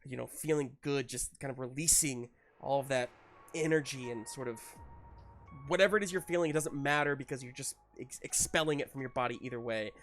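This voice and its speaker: A male game master with a mid-pitched voice improvises narration for a tabletop game. His phrasing is casual and halting, over faint music. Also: a bit bright.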